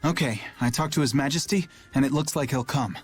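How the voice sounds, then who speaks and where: A man's voice: medium pitch, fairly quick, faint music behind, natural voice. A man playing an earnest, courteous, worried young man in an English anime game dub.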